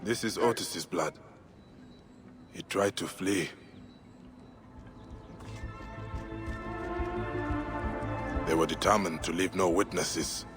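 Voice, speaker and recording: A grim Egyptian warrior from a video game, with a deep, low voice. He speaks solemnly and deliberately, a vengeful protector with a kind side. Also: music in the background. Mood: somber, investigating. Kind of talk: video game cutscene acting.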